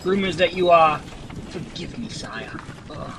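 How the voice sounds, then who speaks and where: A high-voiced young soldier played by a man gives his report in a fantasy audio drama. He is formal, deferential and a little anxious, yet loyal. Clear outdoor ambience runs behind him. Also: expressive, a little slow, a bit bright, a little hiss or hum. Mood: nervous, hesitant. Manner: formal, hesitant, respectful military reporting.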